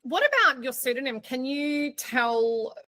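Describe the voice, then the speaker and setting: A woman's voice: high voice, animated, unhurried, pushed and bright. A woman hosting a casual interview, chatty and informal as she reacts and asks questions. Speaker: podcast interviewer.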